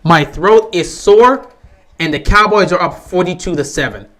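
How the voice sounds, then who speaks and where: A young man with a sore, hoarse voice, giving casual live commentary on a football game he is streaming, resigned and frustrated. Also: high-pitched, moderate pace, fairly bright tone. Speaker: livestream host.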